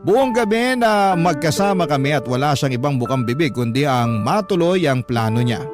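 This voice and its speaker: An actor playing a troubled, embarrassed young Filipino man, narrating his love story in a measured, confessional way for radio. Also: a little high, animated, natural voice. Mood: resigned, troubled. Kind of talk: scripted first-person radio drama narration. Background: background music.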